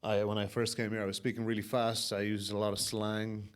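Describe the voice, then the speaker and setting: Young man, lowish pitch, softened Irish accent with American tinge, casual storytelling, slangy and sweary, podcast guest. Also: little pitch movement, a little fast. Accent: softened Irish, somewhat Americanized. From Ireland. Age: young adult.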